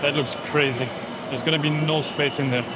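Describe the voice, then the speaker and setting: Austrian man vlogging over loud street noise. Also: on the high side, fairly even intonation, brisk. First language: German. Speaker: travel vlogger. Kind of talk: casual travel vlogging. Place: outdoors on crowded city festival streets. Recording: very noisy street with traffic.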